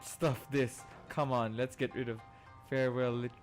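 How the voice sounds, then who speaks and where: A man's voice: mid-range voice, flat delivery, moderate pace. A man livestreaming a game with casual, off-the-cuff commentary. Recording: game music behind the voice.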